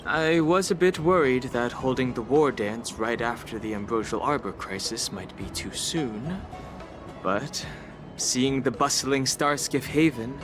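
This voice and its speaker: A man voicing a calm, thoughtful young man in a game, speaking softly and evenly. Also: medium pitch, natural voice. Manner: quiet, measured, soft-spoken. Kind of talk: game voice acting. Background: game soundtrack music.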